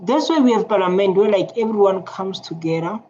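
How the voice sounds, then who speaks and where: A man's voice: high voice, brisk, darkish tone. A South African man with a Tswana accent, whose first language is Setswana, giving casual, repetitive reaction commentary. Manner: repetitive, rambling, emphatic. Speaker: online commentator. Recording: dull lo-fi, faint noise.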